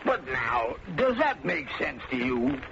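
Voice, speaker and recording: An actor playing a talkative, touchy old farmer with a folksy rural American drawl and creaky voice in an old-time radio drama. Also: male voice, fairly high pitch, big pitch swings, slow pace, heavily acted. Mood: bewildered. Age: older. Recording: muffled, noisy old radio recording.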